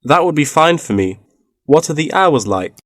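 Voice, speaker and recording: A man's voice: on the high side, very animated, moderate pace, a bit bright, no echo. Man playing a polite student, dry recording. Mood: polite, inquiring. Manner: polite, inquiring. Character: polite male university student seeking part-time work. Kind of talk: scripted language-test dialogue.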